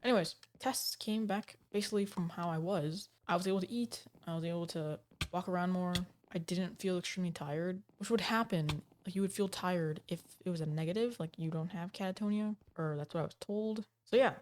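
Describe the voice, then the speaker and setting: Low-voiced woman, a bit under the weather. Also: a little fast, few pauses, a little mellow, a little hiss or hum. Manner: rambling, hesitant, lots of "like" and restarts. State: slightly sick, voice a little off. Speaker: gaming channel host. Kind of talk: casual talking-to-camera update. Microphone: desk microphone.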